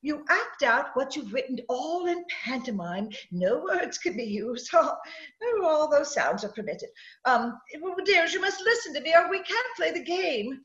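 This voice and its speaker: A woman's voice: sits high, big pitch swings, unhurried, fairly bright tone, heavily acted. A woman playing a fussy, dithering society hostess in 1940s radio style, stammering as she goes. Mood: fussy excitement. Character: fluttery, fussy society hostess running party games. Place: home, recorded over video call. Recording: echoey muffled home video-call recording.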